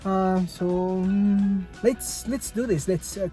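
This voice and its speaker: A man's voice: high voice, fairly even intonation, slow, mellow. A man in his twenties, a native Spanish speaker, chatting casually in a fitness vlog, hesitant and self-mocking, full of fillers and restarts. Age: 20s. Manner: dry, self-mocking humor. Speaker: fitness vlogger. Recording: music playing under the voice.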